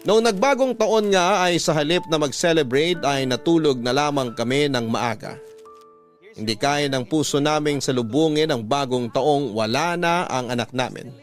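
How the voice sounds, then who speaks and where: A man's voice: a little high, moderate pace, faint music behind, close to an everyday voice. A man narrating as a bereaved husband in a Filipino radio drama, reading a letter in a solemn, heartfelt way. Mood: sorrowful. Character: bereaved husband recounting his sorrowful marriage story.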